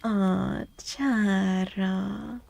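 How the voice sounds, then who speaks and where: A woman from the United States chatting softly and casually in an ASMR style, with a gentle, encouraging manner, as a streamer talking to viewers. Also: mid-range voice, fairly even intonation, drawn-out words, creaky, dry recording. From United States, born to Mexican parents. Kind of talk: soft-spoken ASMR casual chat. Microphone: close, dry microphone.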